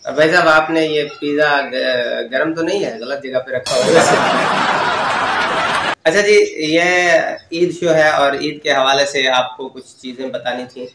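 A man's voice: mid-range voice, unhurried, reverberant, background noise. A young man doing a casual stand-up monologue as host of his own comedy channel, chatty and self-mocking, laughing through his jokes, on a lapel mic in a studio. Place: studio in front of a lit backdrop.

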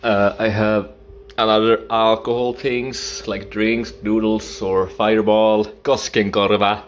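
Slow, low-voiced man touring his apartment. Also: flat delivery, a little hiss or hum. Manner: halting, hesitant, with restarts and playful asides. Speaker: vlogger giving a home tour. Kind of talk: casual home tour narration. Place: small apartment bathroom and kitchen indoors. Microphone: action camera worn on the body.

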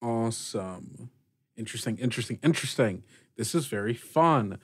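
A man running a tabletop roleplaying game as game master, explaining rules in a halting, conversational way. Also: medium pitch, expressive, husky.

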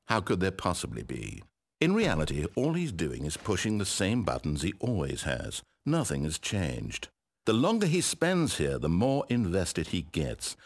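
A man's voice: fairly low pitch, gravelly, breathy edge, full character voice. A man with a British accent playing a wry, condescending, controlling narrator in a game, eloquent and theatrical. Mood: grave. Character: wry, exasperated, controlling male storyteller narrator. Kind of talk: theatrical scripted storybook narration.

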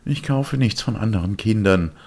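A man's voice: fairly low pitch, animated, moderate pace, darkish tone, pitched above the performer's own voice. A man voicing a shrewd, mocking street boy who teases scornfully in a children's storybook. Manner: teasing, scornful.

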